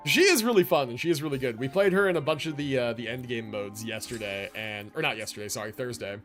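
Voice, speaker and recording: A man's voice: medium pitch, fast talker, a bit bright. A man hosting a game livestream with casual, slangy, enthusiastic commentary and the odd swear. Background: video game music and character voices.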